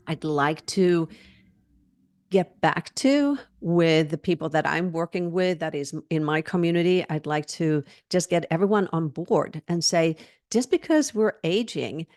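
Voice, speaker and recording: An older woman in her sixties speaks in a lower voice over a video call, close and dry with no room around her. She answers in an earnest, reflective way and laughs easily between thoughts. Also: a little mellow, no echo. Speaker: fitness trainer and guest. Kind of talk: conversational interview answers.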